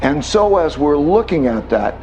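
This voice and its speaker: Male interview guest, slightly high voice, deliberate and insistent, muffled recording over music. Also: sing-song, noisy background. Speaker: financial commentator, interview guest. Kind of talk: explanatory interview talk.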